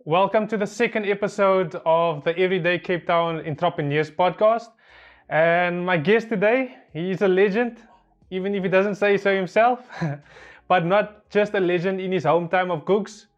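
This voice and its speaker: A man's voice: high voice, fairly even intonation, normal speed. A man giving a casual podcast introduction as the host and interviewer. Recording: dull lo-fi sound with music behind.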